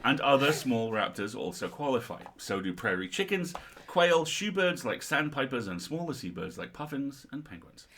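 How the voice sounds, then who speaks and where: A man's voice: medium pitch, big pitch swings, normal speed, a bit bright, slight background noise. A middle-aged man co-hosting a livestream, reading a humorous article aloud with wry, playful and sweary asides.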